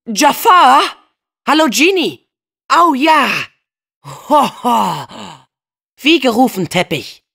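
A man's voice: very high voice, very animated, takes it slowly, creaky, long pauses, pushed and bright, heavily acted. A young man voicing a plucky, kind-hearted teenage boy hero in a video game dub, earnest and spirited, with casual youthful phrasing. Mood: excited.

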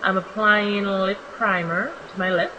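A young woman with a slightly raspy, just-woken-up voice, narrating her makeup tutorial in a relaxed, chatty way with many ums. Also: mid-range voice, measured pace, dull audio, background noise. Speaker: beauty vlogger. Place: small home bathroom.

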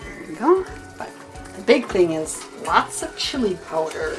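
A woman's voice: on the low side, measured pace. Middle-aged woman explaining a recipe. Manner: relaxed, explaining step by step. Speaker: home cook teaching a recipe. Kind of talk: casual cooking demonstration. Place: home kitchen. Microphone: camera mic. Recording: music playing under voices.

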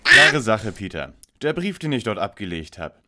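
A man's voice: on the low side, wildly swooping pitch, normal speed, heavy background noise. A man playing a self-assured, lecturing young detective leader in an audio drama parody. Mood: smug, lecturing. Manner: precise, pompous, lecturing.

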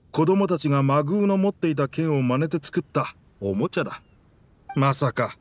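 A man's voice: fairly high pitch, dry recording, natural voice. A man voicing a game character, the proud, wistful spirit of an old pirate warship, with rugged, old-fashioned, theatrical speech. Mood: reflective, wistful.

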